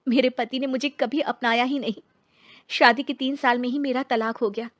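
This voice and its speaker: An earnest, wronged young divorced woman from a cartoon story, voiced by a woman. Her voice is high and her delivery is plaintive drama dialogue. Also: steady tone, normal speed, natural voice. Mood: aggrieved.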